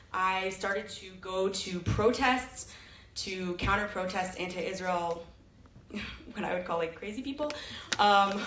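A woman's voice: medium pitch, a bit bright, slight background noise. A young woman tells her story on stage with a chatty, self-mocking humor. Her voice rings through a big echoey hall, picked up from the audience seats with a faint murmur of the room underneath. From Sacramento, California. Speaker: presenter. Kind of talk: live stage talk. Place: large auditorium with audience. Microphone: handheld mic filmed from the audience.

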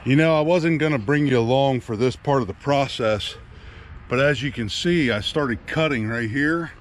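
A man's voice: mid-range voice, normal speed, slight background noise. A middle-aged man in a casual, rambling how-to chat to camera, recorded outdoors in a backyard on an action camera. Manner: easygoing, rambling, trailing off. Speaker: firewood vlogger.

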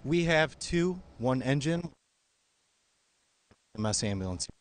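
A man's voice: medium pitch, expressive, takes it slowly, no echo. A man in his thirties, a firefighter, giving terse factual answers in a live outdoor interview. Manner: matter-of-fact, terse. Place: outdoors on a fire truck ladder. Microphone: reporter's handheld microphone.

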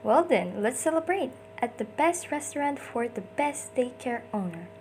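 A woman's voice: a little high, very animated, moderate pace, darkish tone, slight background noise. A woman doing an amateur comic dub, acting out short character lines theatrically in switching voices.

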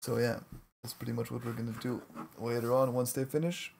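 A man's voice: medium pitch, level tone, fairly quick, creaky, a little mellow, a little hiss or hum. A man from Latvia casually chatting with viewers on a game livestream, joking and laughing as he protests their teasing. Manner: jokey, laughs often, mock-protesting at chat teasing. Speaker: livestream caster. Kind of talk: casual live-stream chat with viewers.

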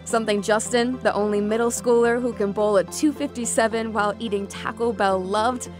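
A young adult woman reporting a local TV news story, with a measured, earnest delivery. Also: on the high side, fairly even intonation, music in the background. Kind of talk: news report narration and interview.

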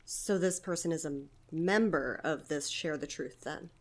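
A woman's voice: low voice, measured pace. A woman playing an evasive, chatty community center manager in a naturalistic audio drama, hesitant yet earnestly explanatory. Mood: polite, curious.

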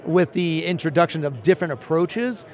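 A man in his forties with a voice pitched a little high for a man talks through survey findings at a steady, explanatory pace. He is seated at a booth on a busy convention floor and keeps checking in with "right?" as he makes each point. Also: normal speed. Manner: steady explanatory, cites statistics, frequent "right?". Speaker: principal analyst. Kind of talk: conversational analyst interview.

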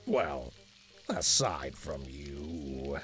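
A woman's voice: very deep voice, very animated, extremely slow, husky, faint music behind, voice pushed down, heavily acted. A woman voicing a lovestruck male centaur villain, gushing and flirting in a sweetly smitten drawl in a story reading. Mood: lovestruck, flirty. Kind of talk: dramatic fan fiction reading.

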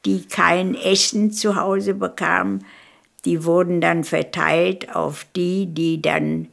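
A woman of about 100 from Königsberg in former East Prussia, reminiscing in an unhurried, halting way in a documentary interview. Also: lowish voice, steady tone, gravelly. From Königsberg, East Prussia, Germany. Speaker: documentary interviewee, eyewitness recalling her life. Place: furnished living room, indoors.

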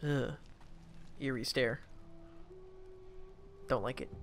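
A man hosting a let's play, chatting and joking in an animated way over his gameplay. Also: mid-range voice, slow pace, slight background noise. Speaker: gaming channel host.

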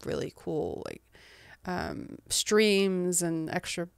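A woman in her thirties, from England but with an American accent. She is a guest author in a casual interview, soft-spoken, laughing often and trailing off. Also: on the low side, huge pitch swings, takes it slowly, creaky, no echo, clean studio sound.

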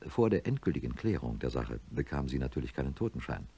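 Very deep, husky, grave professor. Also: male voice, big pitch swings, fast, dark tone, heavily acted. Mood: sombre, confessional. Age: middle-aged. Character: grave middle-aged neurosurgeon professor, troubled, confiding narrator. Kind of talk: radio drama narration and dialogue.

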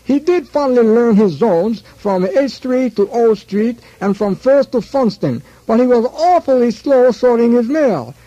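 A disparaging male postal supervisor gives testimony in a radio play. His voice is extremely high, soft-edged and animated. The sound is dry and slightly dull. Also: unhurried, few pauses, dry recording, full character voice. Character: male post office supervisor, matter-of-fact and disparaging.

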